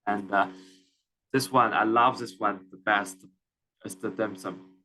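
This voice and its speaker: A young man with a Chinese accent talks through a student class presentation. His voice sits low and sounds bright and forceful. He hesitates often, filling gaps and restarting phrases. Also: fairly even intonation. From China. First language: Mandarin Chinese. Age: young adult. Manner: halting, many fillers and restarts.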